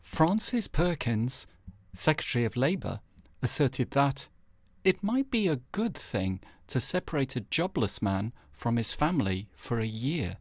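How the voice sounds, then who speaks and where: A man reading scripted, documentary-style news headlines in a measured, formal manner. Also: mid-range voice, big pitch swings, no room sound. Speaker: narrator presenting historical news headlines. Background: background music.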